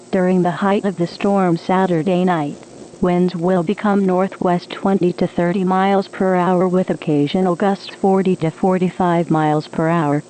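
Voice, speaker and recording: A woman's voice: on the low side, dark tone, a little hiss or hum, rough recording. A woman reading a scripted emergency weather bulletin in a formal, automated-sounding delivery, as a weather radio announcer.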